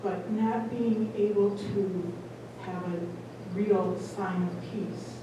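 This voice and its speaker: A woman in the audience offering a thoughtful, halting comment at a parish talk. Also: medium pitch, steady tone. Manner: halting, thoughtful, many pauses. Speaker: audience member. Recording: echoey hall, distant mic, noisy.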